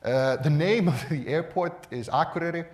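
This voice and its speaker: Man giving a measured conference talk. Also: fairly high pitch, a little mellow. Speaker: conference speaker. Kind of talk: conference presentation.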